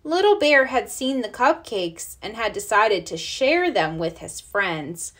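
A woman narrating a children's storybook aloud, expressively, with deliberate storytelling emphasis. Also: a little high, big pitch swings, a bit bright. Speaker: storybook reader.

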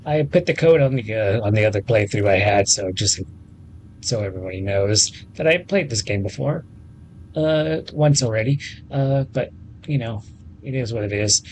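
A man's voice: on the low side, animated, normal speed, a little hiss or hum. A man in his thirties giving casual, offhand and rambling commentary as he plays a video game. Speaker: gaming video host. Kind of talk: casual let's play commentary. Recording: faint game music behind. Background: video game audio.

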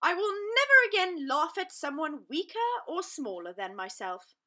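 Woman playing a loud, bright, proud storybook lion. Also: high voice, very animated, moderate pace, voice pushed up, heavily acted. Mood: grateful, solemn. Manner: emphatic, grand, declamatory. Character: big proud male lion, boastful king of beasts. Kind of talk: children's storybook read-aloud.

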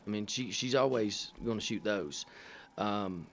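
Middle-aged male coach at a press table mic, low-pitched, halting and matter-of-fact with dry asides. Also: vocal fry, a bit bright, a little hiss or hum. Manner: halting, many fillers and restarts, dry matter-of-fact humor. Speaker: head coach. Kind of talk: post-game press conference answers. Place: press room in front of a sponsor backdrop. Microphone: table microphone.

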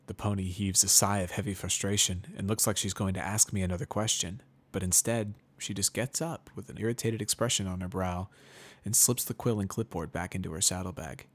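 A man narrating a first-person story as a dramatic reading, in a measured, brooding manner. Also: low-pitched, steady tone.

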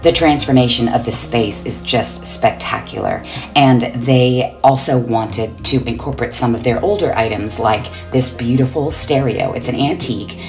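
Very low gravelly woman narrating. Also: darkish tone. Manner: upbeat, enthusiastic. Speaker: interior designer. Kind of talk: voice-over narration of a home reveal.

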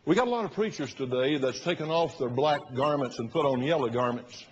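An older man in his sixties with a husky voice, preaching an emphatic, oratorical sermon as a pastor. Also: fairly quick, slight background noise. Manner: emphatic, oratorical, rising to loud bursts and dropping low. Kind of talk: fiery sermon. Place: large church sanctuary, at the pulpit platform. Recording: muffled recording in a reverberant church hall.

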